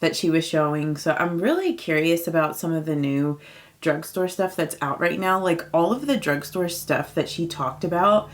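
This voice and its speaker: A woman in her late thirties chatting casually through a makeup routine, upbeat and full of fillers, her voice a touch raspy from early morning. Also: sits low, moderate pace. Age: 30s. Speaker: beauty vlogger. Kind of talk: casual get-ready-with-me chat.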